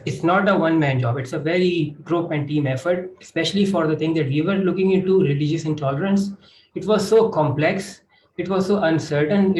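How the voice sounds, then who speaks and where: A man explaining reflectively as a panelist, in emphatic short parallel phrases. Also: fairly high pitch. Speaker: panelist, grant recipient. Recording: echoey room with faint noise.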